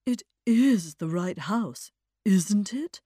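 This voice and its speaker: Woman playing a plaintive, slow, sorrowful young aesthete. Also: medium pitch, animated, mellow, dry recording, studio quality. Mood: distressed. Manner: plaintive, precious, drawn-out. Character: lean, sorrowful young man, precious, anxious aesthete.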